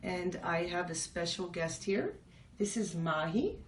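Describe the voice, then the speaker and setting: A woman hosts a casual interview, asking curious, chatty questions in a lowish voice. She sits a little away from the mic, in an echoey room with a faint hiss. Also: expressive, unhurried. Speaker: host. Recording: echoey, from a distance, faint noise.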